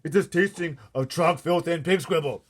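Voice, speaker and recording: A man voicing a gentle, earnest friendly giant who speaks emphatically in quaint, mangled grammar for a storybook. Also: a little high, normal speed, pitched above the performer's own voice, close to an everyday voice. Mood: disgusted, alarmed.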